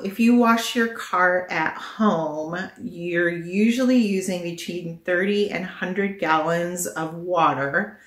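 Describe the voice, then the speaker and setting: A middle-aged woman chatting casually to the camera in her living room, thinking aloud as she reviews products. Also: lowish voice. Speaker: vlogger presenting a product haul. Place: small living room at home, indoors. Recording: echoey home living room.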